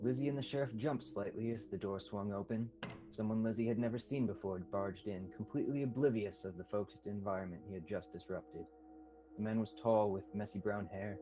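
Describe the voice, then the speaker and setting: Low-voiced young male narrator over music. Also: fairly quick, rough recording. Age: young adult. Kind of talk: scripted radio drama narration.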